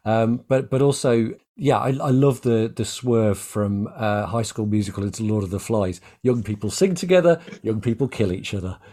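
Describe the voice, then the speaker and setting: A man's voice: lowish voice, normal speed, hardly any pauses, dark tone. A man interviewing a guest in a conversational, reflective and wordy way, circling around his point.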